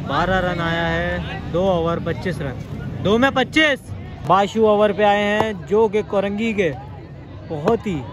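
A young man giving excited live commentary on a local cricket match outdoors, his voice rising sharply on big shots. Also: fairly high pitch. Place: outdoor sandy ground with a crowd. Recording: crowd noise around an outdoor mic.

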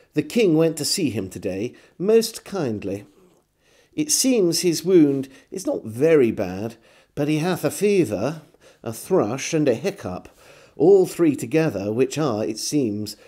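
A man in his forties reads literary prose aloud at an unhurried pace. His voice is mellow, and the pitch moves freely as he gives each phrase theatrical weight and deliberate pauses. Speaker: storyteller reading aloud. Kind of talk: expressive literary diary reading. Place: small home room with bookshelves.

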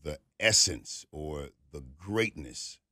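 A middle-aged man hosting an interview in a radio studio, posing slow, measured questions. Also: a bass voice, lively intonation, bright, forceful tone, no echo. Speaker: talk show host. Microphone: studio boom mic.

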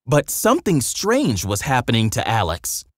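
A man reads a children's story as narrator. His medium-pitched voice is lively and varied, with dramatic storytelling phrasing. The recording is close and dry. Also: normal speed, no room sound.

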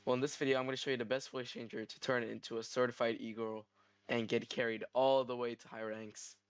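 A man hosting a software tutorial, narrating step by step in an instructional style. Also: mid-range voice, level tone, fast talker, clean tone, no room sound. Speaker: tutorial host.

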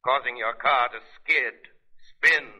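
An actor playing a mysterious, all-knowing avenger warning listeners in a 1930s radio advertisement. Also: male voice, mid-range voice, a little slow, bright, forceful tone. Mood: ominous. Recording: dull 1930s radio recording.

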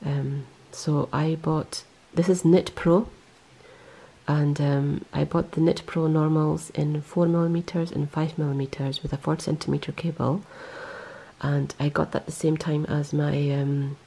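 A woman's voice: low voice, little pitch movement, measured pace, gravelly, dark tone, slightly rough. A woman talking casually over close-ups of her knitting, rambling and correcting herself with lots of ums. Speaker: knitting vlogger. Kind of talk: casual show-and-tell. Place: indoors, close-up on hands and yarn.

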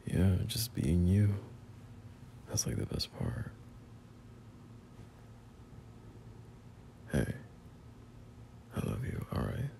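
A young man playing a bashful, teasing friend turned boyfriend in an intimate roleplay audio, speaking in halting, murmured slang. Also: low voice, big pitch swings, vocal fry, dark tone, slight background noise, exaggerated voice. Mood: tender, teasing.

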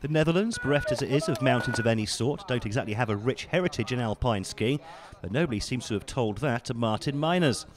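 Man narrating ski race highlights. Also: expressive, a crowd in the background. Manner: measured, polished broadcast delivery. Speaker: sports commentator.

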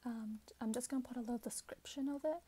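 Casting: woman, soft-spoken and whispery ASMR roleplayer, slightly high pitch, faint noise with typing behind. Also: quick pace. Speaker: ASMR creator playing a robot companion clerk. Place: small plain room indoors. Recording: hissy background noise, keyboard typing. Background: keyboard typing and clicks.

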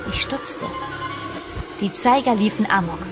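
Horror audio drama narrator, played by a woman: shaken heroine, dramatic first-person telling, mid-range voice, clear music bed. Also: medium pitch, expressive, a little slow, lightly acted. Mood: tense, dramatic.

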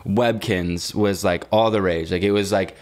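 A man's voice: low voice, fairly even intonation, measured pace. A young adult man co-hosting a podcast in casual chat, telling stories with slang, swearing and a teasing manner. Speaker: podcast co-host.

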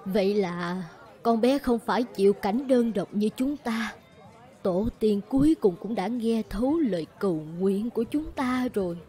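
A woman's voice: a little high, expressive, a little slow, mellow. An actress playing a sentimental elderly village kinswoman in a cartoon folk tale, wistful and heartfelt. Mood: moved. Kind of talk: animated folk-tale dialogue.

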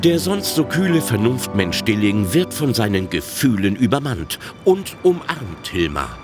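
A man's voice: mid-range voice, big pitch swings, a little slow, music in the background. A man narrating a comedy radio play from a script in a wry, dramatic storytelling manner.

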